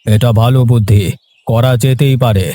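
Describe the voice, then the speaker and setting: An actor playing a trusting village landowner in a cartoon, speaking in a measured, sceptical manner. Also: male voice, medium pitch, fairly even intonation, warm tone, dry recording, close to an everyday voice. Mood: sceptical, considering. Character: trusting, unhurried village landowner, addressed as babu. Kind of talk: dubbed cartoon dialogue. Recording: music under the voice.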